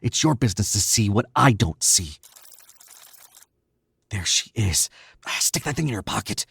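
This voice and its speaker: A man's voice: fairly low pitch, expressive, moderate pace, vocal fry, a bit bright, husky, full character voice. Man playing a smug, domineering radio-drama murderer. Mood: sharp, alarmed. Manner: condescending, needling, self-assured. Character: cool, domineering young murderer, smug and suave. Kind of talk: old-time radio drama acting.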